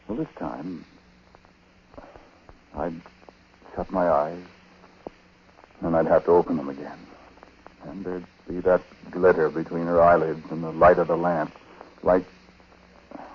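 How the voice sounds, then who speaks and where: An actor playing an uneasy husband in a 1940s radio drama, speaking colloquially and confidingly in hesitant, halting phrases. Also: male voice, low-pitched. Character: uneasy husband in a small-time psychic scheme, narrating confidingly. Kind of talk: 1940s radio drama acting, confessional narration. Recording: muffled, noisy 1940s radio broadcast recording.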